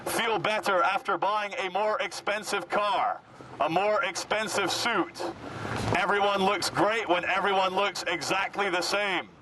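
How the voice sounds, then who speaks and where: A man speaks through a megaphone on an outdoor city street with clear traffic noise around him. His voice is pitched high for a man. He issues commanding, mock-official orders to passers-by, deliberate and deadpan. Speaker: street performer activist. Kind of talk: satirical megaphone address to passers-by.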